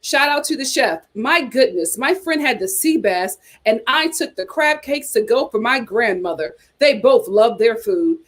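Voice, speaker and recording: A woman's voice: a little high, animated, unhurried, hardly any pauses. An American woman hosting a casual chat show, reading aloud and commenting with playful, teasing asides. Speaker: host of a reality TV chat show.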